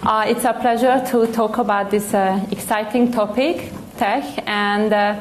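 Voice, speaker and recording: A woman's voice: medium pitch, fairly even intonation, measured pace, a little hiss or hum, poor audio. A woman from Hungary with a Hungarian accent, moderating a conference panel, speaking hesitantly with many fillers.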